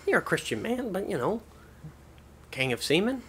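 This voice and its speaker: Guy doing a bossy tv therapist, kinda high voice, gets loud and pushy, comedy sketch. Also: big pitch swings, moderate pace, husky, full character voice. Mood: probing. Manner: bossy, loud pitch jumps when insisting. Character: pushy, self-important male TV therapist, blunt and bossy. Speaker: host.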